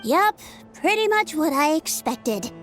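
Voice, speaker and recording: A woman voicing a bright, earnest young detective in a video game, precise and analytical in her phrasing. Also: high-pitched, sing-song, a little slow, faint music behind. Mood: confident.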